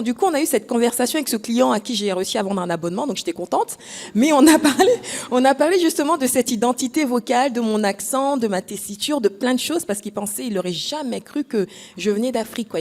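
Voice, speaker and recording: Young Congolese-born woman telling a story. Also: fairly high pitch. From Congo-Kinshasa. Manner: lively storyteller, acts out dialogue, laughs often. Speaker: panelist. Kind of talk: anecdotal storytelling on a panel.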